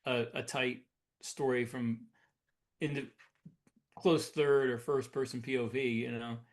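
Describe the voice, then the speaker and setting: A man's voice: mid-range voice, fairly even intonation, a little slow. Man chatting as a podcast co-host. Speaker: podcast co-host and military sci-fi writer. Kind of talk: casual podcast discussion.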